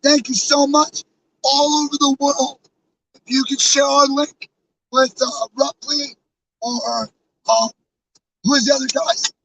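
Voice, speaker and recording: A hoarse man with a fairly high voice talks earnestly to his live chat, rambling with plenty of fillers and restarts. He sits back from the microphone, and faint background noise hums under his words. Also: level tone, unhurried, long pauses, recorded from a distance. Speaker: live streamer with a truck convoy. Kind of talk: casual live-stream talk to chat.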